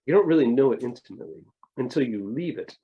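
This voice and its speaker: A man's voice: medium pitch, animated, fast talker, dull audio. A middle-aged man talking reflectively in an interview, with hesitant restarts and rambling thoughts. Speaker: family therapist, guest.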